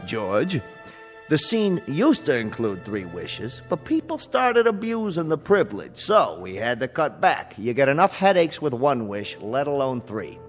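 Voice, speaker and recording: An actor playing a brash, grumpy, streetwise genie who wisecracks in dated hipster slang in a radio drama. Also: male voice, mid-range voice, huge pitch swings. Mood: breezy, grumbling. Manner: streetwise, fast-quipping, dismissive. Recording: long reverb, faint music behind.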